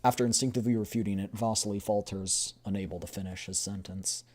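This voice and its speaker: A man's voice: lowish voice, steady tone, quick pace, crowd noise. A man streaming a game, reading story text aloud and chatting casually in between. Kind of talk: live game readthrough with casual asides.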